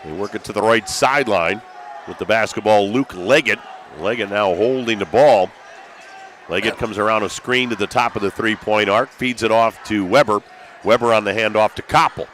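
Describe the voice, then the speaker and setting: A man's voice: fairly low pitch, moderate pace, a bit bright. An American man doing live play-by-play radio commentary of a basketball game from courtside in a gymnasium. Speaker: play-by-play sports announcer. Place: courtside in a packed school gymnasium. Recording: gym background noise. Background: gym ambience, bouncing basketballs.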